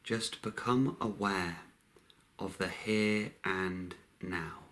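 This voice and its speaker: A man leading a guided meditation in a calm, unhurried way, with long pauses between short phrases. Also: low voice.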